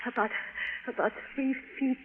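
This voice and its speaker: Casting: actress as timid young female space pilot, halting and stammering, vintage radio drama with clear background noise. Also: high-pitched, narrow, tinny audio, lightly acted. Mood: nervous, frightened. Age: young adult. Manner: hesitant, stammering. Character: shy, anxious young woman rocket pilot from Russia. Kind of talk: 1950s radio drama acting. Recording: noisy vintage radio recording.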